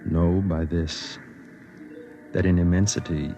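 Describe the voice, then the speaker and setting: A man acting a lonely, gentle man in 1950s American radio drama, speaking in a measured, earnest, tender way. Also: low-pitched, fairly even intonation. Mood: tender, wistful. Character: lonely, gentle man narrating and playing himself. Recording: vintage 1950s radio with background music.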